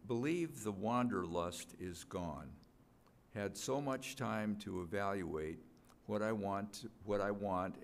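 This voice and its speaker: An older man reading as a homesick prisoner of war. The voice is lowish and gravelly, and the letter comes out very slowly. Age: 60s or older. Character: homesick young prisoner of war writing to father. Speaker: readers theater reader. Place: indoor museum gallery at a podium.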